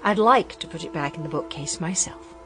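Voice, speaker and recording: Young woman, uneasy and probing, animated pitch. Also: brisk, soft background music. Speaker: daughter of the house. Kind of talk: radio drama acting.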